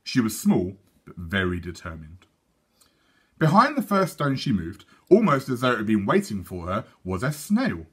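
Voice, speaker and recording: A British man reads a children's story aloud like a teacher addressing a class. His pitch is animated and varied. The tone is bright and forceful, with a husky edge. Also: normal speed. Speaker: teacher reading aloud to schoolchildren.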